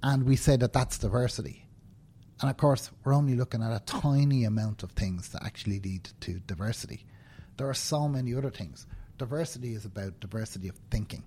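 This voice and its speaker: A man's voice: medium pitch, fairly quick, dark tone, slight background noise. A man in his fifties with a Dublin Irish accent, speaking earnestly as an interview guest and often checking in with "right?". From Ireland. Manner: earnest, emphatic, tag questions like "right?". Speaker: interview guest, banking professional. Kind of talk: conversational interview. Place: indoors, seated conversation.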